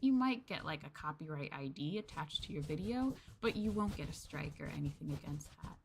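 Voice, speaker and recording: A woman's voice: deep voice, lively intonation, fairly quick, gravelly, noisy background. A woman streamer chatting casually with her viewers, addressing them as Masters and filling her talk with ums and likes. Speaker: VTuber streamer.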